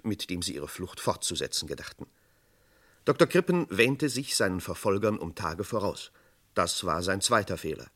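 An actor as the narrator of a German radio crime play, telling a suspenseful story in formal scripted style. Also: male voice, sits low, fairly bright tone, exaggerated voice.

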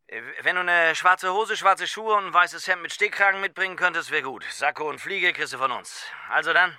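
Jovial band leader, radio play, breezy, piercingly bright, crisp clear voice. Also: male voice, fairly high pitch, a little fast. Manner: breezy, upbeat, salesmanlike. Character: jovial, chummy dance band leader at music shop. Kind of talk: radio drama phone dialogue.

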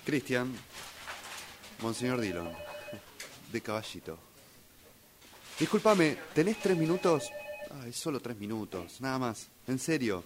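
Slow-paced Argentine man over clear noise. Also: mid-range voice, sing-song. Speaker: radio drama workshop student. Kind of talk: acted dialogue exercise.

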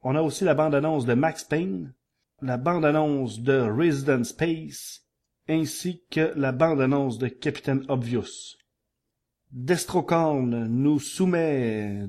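A man presenting a podcast news segment, rattling off a list in a quick, chatty way with frequent fillers. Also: mid-range voice, darkish tone. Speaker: podcast columnist.

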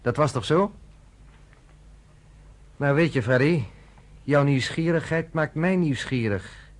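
An actor playing a sardonic, self-assured journalist in a Dutch radio drama, with a dry, conversational, probing manner. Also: male voice, a little high, slow pace. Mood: sardonic, defiant.

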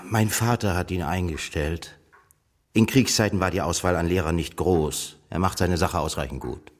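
Aloof middle-aged gentleman, very deep voice. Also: normal speed. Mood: cool, guarded. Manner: detached, reserved, formal. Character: cold, aloof, middle-aged bookish son of a tycoon. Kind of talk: radio drama acting.

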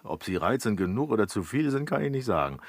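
An actor playing a boastful, flirtatious manservant with theatrical airs in a German period radio comedy. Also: male voice, fairly low pitch, sing-song, fast talker, dry recording. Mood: haughty, teasing. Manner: theatrical, pompous, hesitating. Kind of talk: German radio play of an 18th-century comedy.

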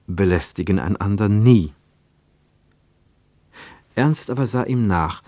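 A man with a fairly low voice narrates a literary text for radio. His delivery is measured and expressive, placing emphasis deliberately, and the studio sound is dry and close. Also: dry recording.

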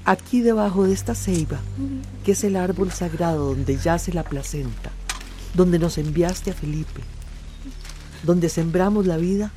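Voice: mid-range voice, unhurried, dark tone, lightly acted. A performer playing a spiritual elder leading a burial rite in a radio drama, solemn and ceremonial. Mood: solemn, reverent. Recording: music and rain behind the voice.

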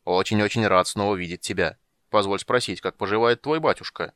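A man reading the part of an ancient, absent-minded but stern old male wizard in an audiobook, speaking gravely and slowly. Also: on the low side, fairly bright tone, dry recording, lightly acted. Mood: genial.

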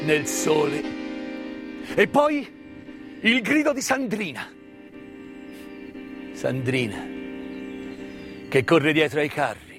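Male stage actor, somewhat high voice, solemn dramatic monologue, repeated words, weighty pauses, music behind. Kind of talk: theatrical narrative monologue. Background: live musical accompaniment.

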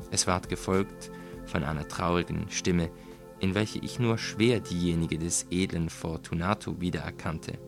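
Deep-voiced man narrating dramatically. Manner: deliberate, suspenseful, expressive. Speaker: audiobook reader. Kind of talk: dramatic literary narration of a gothic tale.

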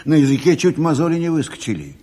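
An actor playing an earthy, worried requisition agent in a radio drama, colloquial and repeating words for emphasis, with a husky voice. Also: male voice, on the high side, very animated, quick pace, dark tone. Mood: exasperated. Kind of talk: theatrical Soviet radio drama acting. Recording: reverberant hall, stage recording.

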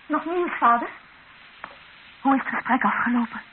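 A woman's voice: high-pitched, moderate pace, close to an everyday voice. A woman playing an anxious planter's daughter in an old radio drama. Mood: anxious, questioning. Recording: hissy, narrow-band old radio drama recording.

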